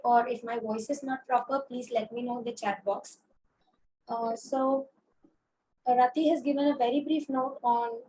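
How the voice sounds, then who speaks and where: A woman with an Indian English accent giving a webinar lecture as a physiotherapist, hoarse from a throat infection, explaining with many fillers and restarts. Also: high voice, a little hiss or hum, rough recording. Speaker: consultant physiotherapist. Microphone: video call.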